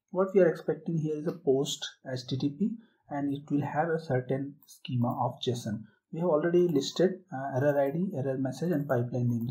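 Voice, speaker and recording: Mellow-voiced man explaining a software demo. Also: mid-range voice, rough recording. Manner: explanatory, step by step, with fillers. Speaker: tutorial presenter. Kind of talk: screen-share software tutorial.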